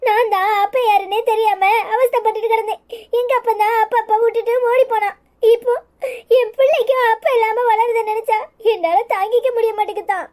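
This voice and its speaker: A woman's voice: very high voice, steady tone, fairly quick, darkish tone. A woman playing a despairing young pregnant village woman in a melodrama, tearful. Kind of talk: melodramatic village serial acting.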